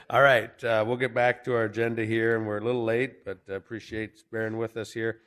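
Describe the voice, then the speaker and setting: A man's voice: lowish voice, level tone, normal speed, a bit bright. A man chairing a county commission meeting, introducing items in an easygoing way with fillers.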